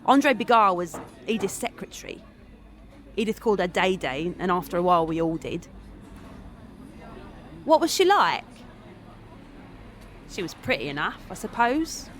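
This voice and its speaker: A woman's voice: medium pitch, big pitch swings, normal speed, pauses often, slight background noise, natural voice. A woman playing a gossipy, suspicious, hard-bitten companion with a wry, sardonic way of talking in an audio drama. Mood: sour, suspicious. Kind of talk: audio drama narration and acting.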